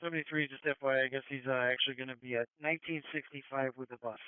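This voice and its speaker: Fast-talking male radio dispatcher. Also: mid-range voice, fairly even intonation, clean tone, dry recording. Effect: two-way radio. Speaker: police dispatcher. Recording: tinny narrowband scanner radio audio.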